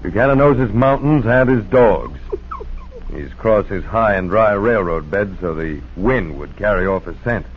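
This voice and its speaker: A man's voice: on the low side, expressive, unhurried. Commanding radio-drama posse lawman, swooping delivery. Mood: grave. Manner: urgent, authoritative, barking orders. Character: commanding, level-headed lawman leading a hound posse. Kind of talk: 1940s radio acting. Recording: noisy, muffled 1940s radio recording.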